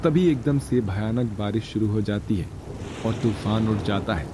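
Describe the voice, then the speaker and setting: A man's voice: fairly low pitch, normal speed, noisy background. A man narrating a scripted moral story as the storyteller.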